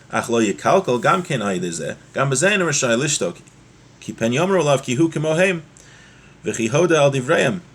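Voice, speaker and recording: A man giving a religious lesson as a teacher, explaining a text point by point and repeating key ideas, in a husky voice. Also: mid-range voice. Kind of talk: Torah lesson, reading Hebrew text and explaining it.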